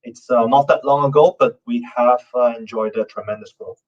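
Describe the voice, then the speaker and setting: A man presents his company at a conference, mid-pitched and halting, with plenty of fillers and restarts. His voice sounds set back from the microphone. The recording has a dull, muffled tone. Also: fairly even intonation, recorded from a distance. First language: not Norwegian. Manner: loose, halting delivery with many fillers and restarts. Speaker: conference speaker, company founder. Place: conference stage.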